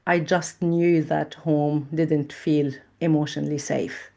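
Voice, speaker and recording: A middle-aged woman from Lebanon with a low voice speaks slowly. She weighs each thought, pausing often with small fillers as she recounts her past in a reflective interview. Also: flat delivery, gravelly, dark tone. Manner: measured and thoughtful, with frequent pauses and fillers. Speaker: trauma therapist guest.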